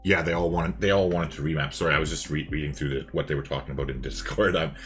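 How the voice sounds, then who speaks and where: Very deep-voiced man, casual gamer talk. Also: steady tone, fast talker, a bit bright, faint music behind. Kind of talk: casual chat during a multiplayer game stream.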